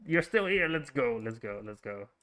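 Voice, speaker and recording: A man's voice: mid-range voice, sing-song, normal speed, bright, forceful tone. A man with a Frisian Dutch accent streaming a strategy game, thinking aloud, greeting viewers and stumbling through fillers and restarts. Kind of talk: casual livestream commentary while playing.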